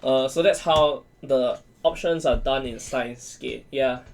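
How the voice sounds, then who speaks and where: A man's voice: mid-range voice, measured pace. A man chatting casually on a talk show, explaining things in an enthusiastic, geeky way. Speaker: talk show panelist explaining visual novels. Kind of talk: casual group chat.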